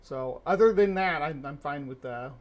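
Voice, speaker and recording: Man cracking joking asides on a stream. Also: fairly high pitch. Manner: joking asides, quick chatty interjections. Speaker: co-host. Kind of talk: livestream commentary.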